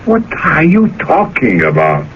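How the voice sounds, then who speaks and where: A suspicious, irritated workman in a radio drama. His delivery is curt but drawn out, with very animated pitch. The old recording sounds a bit dull. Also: unhurried, husky, exaggerated voice. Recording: dull vintage radio recording, faint noise.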